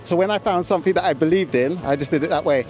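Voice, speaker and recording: Higher-voiced middle-aged man. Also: rapid-fire, darkish tone, slight background noise. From London. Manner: plain-spoken, matter-of-fact. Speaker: interviewee, former gas engineer. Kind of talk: casual street interview. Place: outdoors on a street. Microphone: handheld mic.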